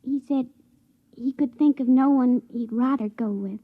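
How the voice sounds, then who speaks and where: A woman's voice: high-pitched, normal speed. A woman acting as a shy, starry-eyed young assistant in a 1950s radio drama, hesitant and tender. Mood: tender, breathless. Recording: thin phone-like vintage radio recording.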